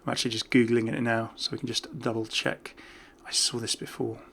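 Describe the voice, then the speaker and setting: Brief: male gaming YouTuber, bright forward voice, mid pitch, rambling casual commentary, thinks aloud. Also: flat delivery, brisk, gravelly, a little hiss or hum. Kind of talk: casual off-the-cuff game commentary.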